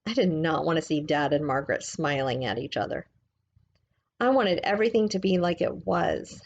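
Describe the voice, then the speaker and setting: A woman reading a book aloud like a teacher, in a gentle, measured, explanatory way. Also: sits low, animated, vocal fry, dull audio.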